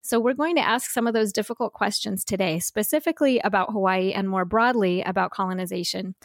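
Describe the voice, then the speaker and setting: A woman's voice: mid-range voice, brisk, no room sound, studio quality. A woman hosting an interview podcast, enthusiastic as she introduces and reads her guest's bio. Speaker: podcast host.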